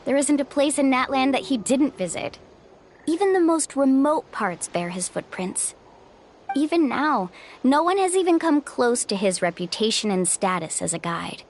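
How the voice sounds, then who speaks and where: A woman's voice: high-pitched, very animated, normal speed, a little hiss or hum. An actress voicing a caring young woman who leads her group as their guide in a video game, reassuring and upbeat. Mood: reflective. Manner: warm, reassuring, leader-like. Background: game music.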